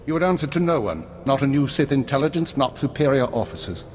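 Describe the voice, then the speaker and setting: An actor playing a weary, regretful older intelligence minister in a video game, speaking in a measured, formal and authoritative way. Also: male voice, mid-range voice, lively intonation, a little hiss or hum, close to an everyday voice. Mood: solemn, resolute. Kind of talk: scripted dramatic game acting. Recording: orchestral music behind.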